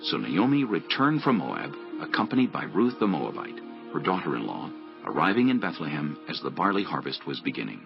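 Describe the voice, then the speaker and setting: A man narrates scripture in a very low, creaky voice. His intonation moves in a lively way through the scripted text. Soft music sits under a slightly dull recording. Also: fairly quick. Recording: dull lo-fi audio with music bed.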